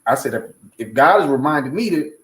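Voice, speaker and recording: A man's voice: medium pitch, lively intonation, a little fast. A man giving a casual, conversational testimony as a guest, often checking that the listener follows him. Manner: peppers talk with "you know what I'm saying". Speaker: guest from a stage play's team. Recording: echoey room, faint background noise.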